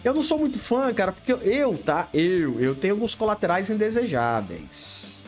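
A man's voice: sits high, big pitch swings, normal speed, soft background music. A man in his fifties with a Brazilian Portuguese accent, hosting a casual live stream from a home studio, answering viewer questions bluntly and greeting people by name. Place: home studio room lit with colored LEDs.

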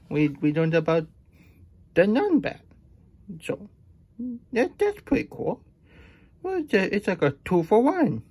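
A man voicing a childlike, good-natured hedgehog plush puppet with a husky voice, reading a picture book with halting, folksy asides. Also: on the high side, a little mellow, full character voice. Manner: speaks of self in third person, halting, folksy. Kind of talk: puppet storytime read-aloud with chatty asides.

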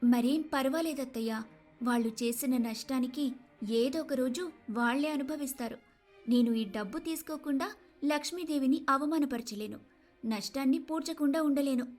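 A woman's voice: sits high, moderate pace, a little mellow, faint music behind, close to an everyday voice. A woman voicing a patient, hardworking, forgiving daughter-in-law in a dramatized moral story. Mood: resolute.

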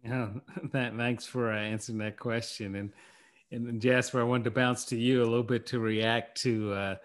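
A man's voice: mid-range voice, flat delivery, normal speed. A middle-aged man hosting a podcast interview on a video call, moving between guests with restarts. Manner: level, with restarts. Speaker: podcast host. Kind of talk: interview questions. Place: home office.

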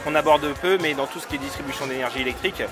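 Man, slightly high voice, enthusiastic casual interviewer, noisy expo hall with music. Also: very fast, a loud crowd. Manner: enthusiastic, slangy, agreeing. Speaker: video maker and interviewer. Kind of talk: casual convention chat. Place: busy convention hall, indoors. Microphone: handheld recorder in his own hand.

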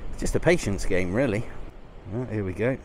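A man's voice: lowish voice, lively intonation, brisk, rough recording. Middle-aged man vlogging in windy noise. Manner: enthusiastic. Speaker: vlogger and landscape photographer. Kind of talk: casual outdoor vlog. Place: outdoors on a windy seafront. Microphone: small wireless clip-on lapel mic. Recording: windy outdoor noise, sea roar.

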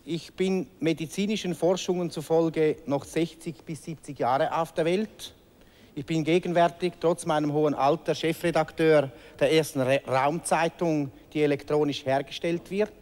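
Male contestant, slightly raised pitch, mock-formal, reverberant studio with audience. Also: steady tone, normal speed, darkish tone. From Switzerland. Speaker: game show contestant. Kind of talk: mock-serious self-introduction. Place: large TV studio.

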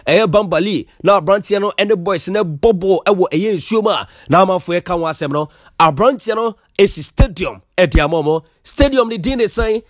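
A man with a Ghanaian accent reads sports news, mixing Twi with English as he goes. His voice sits high. The recording is dry and close. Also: no room sound.

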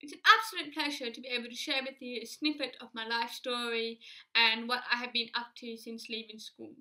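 A woman, giving an earnest, measured personal speech to a close camera in a small room. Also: on the high side. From Australia. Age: 20s. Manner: earnest, measured, steady delivery. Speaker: alumni speaker. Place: small indoor room against a plain wall.

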